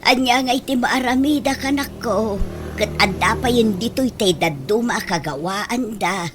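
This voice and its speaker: A woman's voice: on the high side, measured pace, music in the background, close to an everyday voice. A woman playing a meek, long-suffering older aunt in a radio drama, with a Filipino accent. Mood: worried, soothing. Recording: noticeable background noise.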